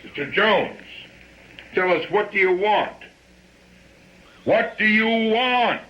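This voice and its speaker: A man's voice: high voice, expressive, slow pace, heavily acted. An actor playing a blunt, pressing male police lieutenant in a radio drama. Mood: urgent, shouting out. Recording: dull lo-fi radio broadcast, background noise.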